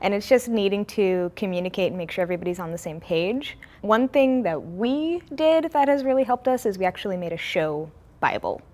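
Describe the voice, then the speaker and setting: A woman's voice: mid-range voice, expressive, a little fast, few pauses, warm tone. A young woman who co-creates and writes a comic, explaining her process in an interview.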